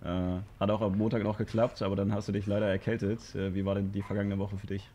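A man's voice: lowish voice, flat delivery, fast, warm tone, slight background noise. A young man moderating a press conference, asking questions informally with many fillers.

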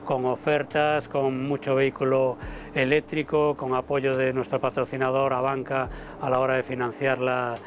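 Halting man on an outdoor terrace. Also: mid-range voice, a little hiss or hum. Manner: halting, many fillers and repeated words. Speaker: motor show director. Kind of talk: interview to camera. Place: outdoor terrace above a city port. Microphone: lapel mic.

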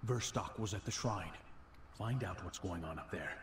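An actor playing a solemn, commanding elf guardian in a video game. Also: male voice, sits low, moderate pace, lightly acted. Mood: urgent resolve. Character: grave elf guardian commander rallying allies. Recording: echoey game audio under loud music.

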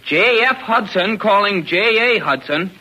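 An actor playing an eager, excitable high-school boy with an American accent in a 1940s radio serial, earnest and full of gee-whiz exclamations. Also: measured pace, slightly rough, full character voice. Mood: urgent. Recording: noisy old radio broadcast recording.

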